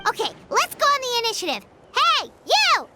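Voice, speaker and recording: A woman voicing a tiny, childlike game companion, bossy and excitable, with a chirpy, exclamatory delivery. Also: extremely high pitch, moderate pace, full character voice. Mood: skeptical, bossy. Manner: chirpy, exclamatory, refers to self by name. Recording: loud background game music.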